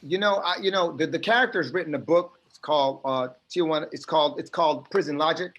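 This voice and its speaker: Middle-aged male guest with a slightly high voice, emphatic and streetwise in a podcast interview. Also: quick pace. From United States. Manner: animated, emphatic, streetwise phrasing.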